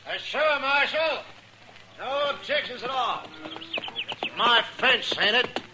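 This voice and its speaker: An actor playing a greedy, demanding rancher with a smug manner in a 1950s radio drama. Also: male voice, extremely high pitch, animated, takes it slowly, noisy background, full character voice.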